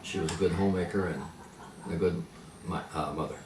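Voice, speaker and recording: An older man who grew up speaking Pennsylvania Dutch, reminiscing slowly with many pauses and fillers. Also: low voice, fairly even intonation. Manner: halting, many fillers and restarts. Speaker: interviewee. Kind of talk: reminiscing in conversation. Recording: echoey room, mic at a distance, faint noise.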